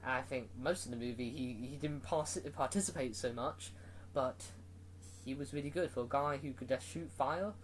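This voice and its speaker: A man's voice: medium pitch, normal speed, a little hiss or hum. A man giving a casual, rambling movie review, full of fillers and restarts.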